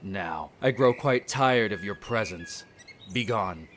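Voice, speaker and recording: A man's voice: on the low side, expressive, takes it slowly, a bit bright, a little hiss or hum. A man playing an irritable aristocratic vampire in a roleplay audio drama, speaking formally with sneering condescension toward humans. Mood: cold disdain. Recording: nature ambience with birdsong behind. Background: birdsong and garden ambience.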